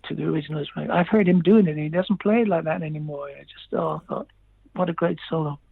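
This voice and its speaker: A male musician chatting as a guest in a relaxed, rambling way, with frequent chuckles. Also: on the high side, fast talker, vocal fry, breathy edge, no echo. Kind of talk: casual interview chat. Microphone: phone line. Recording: tinny dry phone line.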